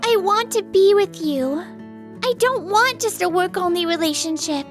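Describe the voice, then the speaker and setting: A woman's voice: extremely high pitch, expressive, moderate pace, soft background music. A woman voicing a polite, sweet young lady in an anime-style manga dub. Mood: sweet, affectionate.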